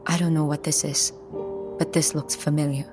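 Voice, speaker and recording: A woman playing a cool, focused young infiltrator with secret powers, narrating an audio drama in a measured, matter-of-fact way. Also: sits low, flat delivery, over music, close to an everyday voice. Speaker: narrator and lead character.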